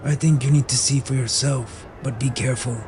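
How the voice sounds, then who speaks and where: A man's voice: medium pitch, steady tone, a little fast, noisy background, lightly acted. A man as a worried, cautious royal aide. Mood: grave, worried. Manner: informative, cautious. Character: worried loyal aide reporting bad news. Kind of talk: fantasy audio drama acting.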